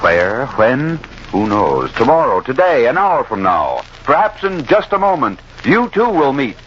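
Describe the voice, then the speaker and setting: A man's voice: medium pitch, sing-song, a little slow. A man announcing in a portentous, theatrical old radio style with an ominous manner. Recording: very noisy, dull old radio recording.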